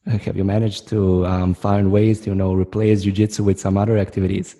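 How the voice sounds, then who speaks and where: A man's voice: low-pitched, level tone, a little fast, mellow. A man hosting a podcast, asking long, winding questions full of fillers. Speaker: podcast host, interviewer. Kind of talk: interview questions.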